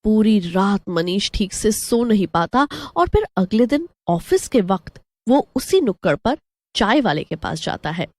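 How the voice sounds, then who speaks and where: A woman's voice: mid-range voice, very animated, measured pace, dry recording. A woman narrating a scripted story as the storyteller.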